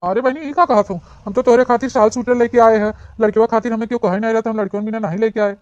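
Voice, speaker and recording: An indignant village husband as a cartoon performer voices him, high for the part and very quick, firing scolding questions in an Awadhi accent. The track is dry and a little dull. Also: steady tone, a little mellow, no echo, close to an everyday voice. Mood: puzzled, indignant. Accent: Awadhi, eastern Uttar Pradesh. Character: indignant village husband and elder brother, hot-tempered. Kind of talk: cartoon comedy dialogue.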